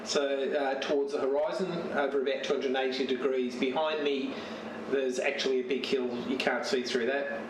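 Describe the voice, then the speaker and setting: Man, roomy and distant, halting delivery. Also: mid-range voice. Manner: halting, searching for words as he handles gear. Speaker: amateur radio and TV hobbyist. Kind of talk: casual unscripted show-and-tell of a home radio setup. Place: home radio shack. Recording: roomy, distant mic with steady noise.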